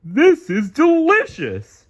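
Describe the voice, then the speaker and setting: A dim but cheerful cartoon starfish who loves food. His goofy storybook voice is drawn out slowly, swings wildly in pitch and sits well above natural speech. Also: wildly swooping pitch, muffled recording, full character voice. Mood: delighted. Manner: slow, goofy, exaggerated. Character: dim, cheerful, food-loving male starfish best friend.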